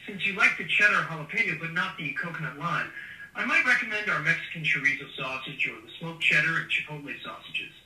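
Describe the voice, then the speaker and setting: A man's voice: fairly high pitch, animated, fairly quick, bright, forceful tone. A synthetic male voice working as a polite phone customer service agent, played from a phone speaker. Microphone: phone loudspeaker picked up across a short gap. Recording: roomy, distant phone speaker playback.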